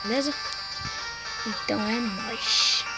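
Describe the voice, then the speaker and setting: Hoarse young Brazilian gamer over game music. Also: female voice, on the high side, slow, poor audio. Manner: rambling slangy talk, full of fillers. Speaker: gaming channel host. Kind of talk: casual gameplay commentary. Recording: game music playing behind.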